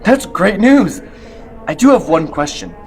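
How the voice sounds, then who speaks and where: A man's voice: high-pitched, big pitch swings, a little slow, faint music behind. A man playing a dazed, naive young boyfriend, overdramatically, in a student radio play. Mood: dazed, cheerful. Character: dazed, dim young boyfriend in a hospital bed.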